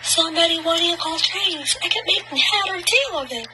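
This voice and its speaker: A woman's voice: extremely high pitch, expressive, moderate pace. A woman playing a junior switchboard operator in an old-fashioned radio drama. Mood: puzzled. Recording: very noisy radio play audio.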